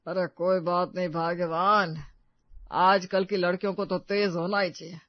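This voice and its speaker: A woman's voice: fairly low pitch, level tone, normal speed, no room sound, lightly acted. A woman voicing an easygoing older father-in-law in a cartoon story, mild and indulgent. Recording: dull dry recording.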